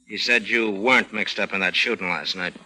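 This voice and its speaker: Tough old-radio detective, low forceful voice, laconic, wry, suspicious. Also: male voice, brisk, slight background noise, rough recording. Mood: dry, suspicious. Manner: dry, laconic. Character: wry, suspicious police lieutenant, tough and unhurried. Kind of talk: 1940s radio crime drama acting.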